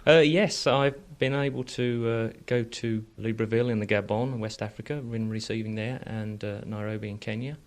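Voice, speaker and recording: A man speaking as an engineer in a radio interview, explaining technical work in an informal, slightly halting conversational way. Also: on the low side, fairly even intonation. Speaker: transmitting station engineer being interviewed.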